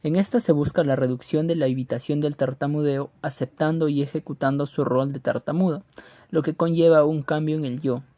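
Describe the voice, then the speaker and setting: A man's voice: fairly high pitch, steady tone, a little fast, mellow, no room sound. A man reading scripted educational narration aloud in a formal manner.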